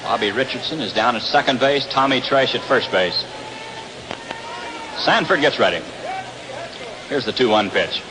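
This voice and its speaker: A male baseball announcer with an American accent and a medium-pitched voice gives live play-by-play. He is heard over a 1960s radio broadcast from an outdoor stadium, with distinct ambient noise running behind the commentary. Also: expressive, normal speed, long pauses. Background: loud ambient ballpark noise.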